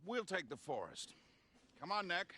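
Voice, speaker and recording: An actor playing a fussy, kindly older scientist in a video game cutscene. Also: male voice, high-pitched, sing-song, measured pace. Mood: eager.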